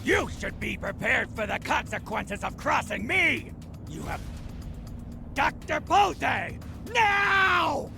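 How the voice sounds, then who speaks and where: A man playing a power-hungry old military commander in a video game, a gravelly, theatrical villain used to giving orders. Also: very high-pitched, animated, over music, exaggerated voice. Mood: enraged. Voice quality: gravelly, creaky. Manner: theatrical, commanding, drawn-out delivery.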